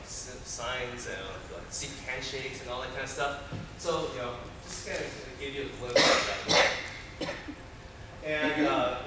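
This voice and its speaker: A young man, originally from Taiwan with Chinese as his first language, talks casually and jokes at his own expense, with plenty of fillers. His medium-pitched voice is picked up from a distance and rings through a very echoey hall, with clear noise behind it. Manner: joking, self-deprecating, many fillers. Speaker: conference presenter. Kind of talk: casual conference talk. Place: large conference room.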